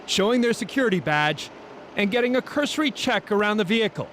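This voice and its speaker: An American man delivers a scripted investigative news report. His voice is notably high for a man, in the manner of a TV reporter. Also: lively intonation, fast, fairly bright tone, slight background noise.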